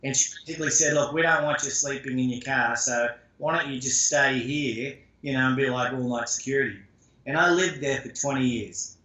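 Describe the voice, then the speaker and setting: Male guest, conversational storyteller, bright tone, echoey room. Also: mid-range voice, a little fast. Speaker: interview guest.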